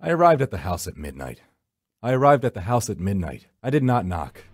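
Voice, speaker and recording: A man's voice: lowish voice, big pitch swings, a little fast, no room sound. A man voicing a wry, secretive supernatural finder who narrates a horror visual novel with dry, theatrical sarcasm. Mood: dry, sardonic. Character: wry, secretive male hired finder from the edge of death. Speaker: narrator and lead character. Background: background music.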